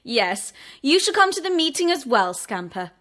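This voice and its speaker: A woman's voice: high voice, sing-song, moderate pace, fairly bright tone. A woman playing an eager young schoolgirl in a children's audio drama. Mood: curious, eager.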